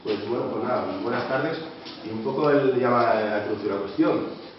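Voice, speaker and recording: A man's voice: mid-range voice, normal speed. A man organizing a public debate, introducing the speakers in a rambling way, full of little tag questions. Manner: rambling, full of "¿no?" and "o sea" tags. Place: meeting room of a local association. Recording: echoey, muffled room recording from a distant mic with background noise.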